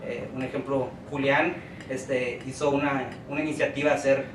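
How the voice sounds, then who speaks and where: A man's voice: medium pitch, little pitch movement. A Mexican man speaking on a panel, hesitant and full of fillers. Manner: hesitant, many fillers, slow. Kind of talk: panel talk. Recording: echoey hall, distant mic, noisy.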